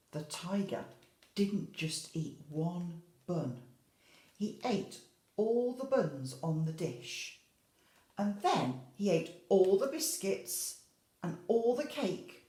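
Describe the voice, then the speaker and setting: A woman teacher reading a picture book to young children in an expressive way, adding chatty asides and questions. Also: medium pitch, reverberant. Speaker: infant school teacher.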